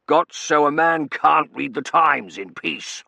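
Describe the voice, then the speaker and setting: Blustering, clipped old Victorian colonel. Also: male voice, on the high side, slow, creaky, bright, forceful tone, no echo, pitched above the performer's own voice, full character voice. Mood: indignant, scornful. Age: older. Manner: clipped shorthand speech, dropping sentence subjects. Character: blustery older colonel, gruff Victorian husband and father. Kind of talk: audiobook character voice.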